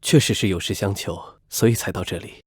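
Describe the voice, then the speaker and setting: A man voicing a courteous, affable knight officer in an animated drama, polite and formal in his delivery. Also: low-pitched, fast, natural voice. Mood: grave, formal. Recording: loud music behind. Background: music.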